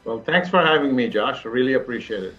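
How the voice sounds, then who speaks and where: A man in his fifties with an Indian accent recounts his life as an interview guest. His phrasing is halting and repetitive, at an average male pitch. The audio is dull and lo-fi, with faint music underneath. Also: expressive, a bit bright. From Mumbai, India. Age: 50s. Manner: halting, repetitive phrasing with frequent you-knows.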